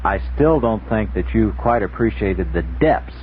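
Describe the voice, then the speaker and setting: A man talking casually but insistently as a guest on a 1960s radio panel, often halting as he searches for words. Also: lowish voice, animated. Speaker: interview guest, author and old-radio collector. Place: radio studio. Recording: muffled, noisy 1960s radio broadcast recording.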